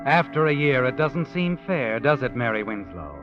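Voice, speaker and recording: An actor playing a sinister, all-knowing narrator with an American accent, needling someone in 1940s radio suspense style. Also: male voice, mid-range voice, expressive, moderate pace, close to an everyday voice. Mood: taunting, ominous. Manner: insinuating, needling, dramatic. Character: the Whistler, sinister all-knowing male narrator addressing a guilty woman. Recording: dull vintage 1940s radio recording. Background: dramatic music.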